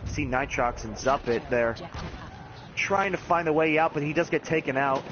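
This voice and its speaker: A man giving play-by-play commentary on an online match, talking fast with the odd chuckle. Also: a little high, lo-fi sound, noisy background. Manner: rapid, animated play-by-play with chuckles.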